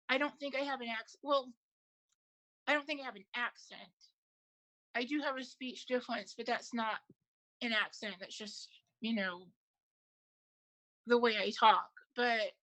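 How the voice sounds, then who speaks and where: Chatty woman co-host with a high, bright voice for a relaxed panel aftershow. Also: poor audio. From Michigan, USA. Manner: chatty, filler-heavy, quick to laugh. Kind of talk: casual panel chat.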